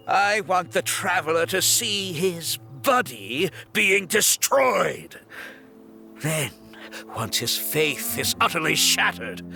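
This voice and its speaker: A scheming, self-important young villain for a video game. His voice is fairly high and very animated, with a bright, cutting tone. He gloats theatrically, stretching words for emphasis. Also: creaky, heavily acted. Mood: cruel, triumphant. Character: smug, theatrical young male villain, scheming, craving approval.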